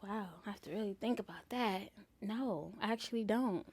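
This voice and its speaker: A woman's voice: medium pitch, moderate pace, darkish tone, husky. A young woman from the Bronx, chatting easily as a singer being interviewed. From New York, the Bronx. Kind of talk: casual interview chat.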